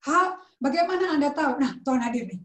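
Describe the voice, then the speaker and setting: A woman's voice: high-pitched, expressive, quick pace, roomy sound. A woman pastor teaching in a conversational sermon style, mixing in English words and asking rhetorical questions.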